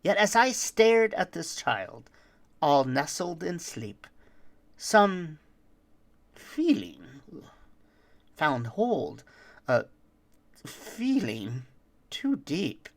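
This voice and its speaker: A performer voicing a boastful, roguish thief who tells a rhyming holiday tale with dramatic, deliberate delivery. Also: medium pitch, big pitch swings, full character voice. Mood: sly, wistful. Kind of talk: theatrical rhymed storytelling.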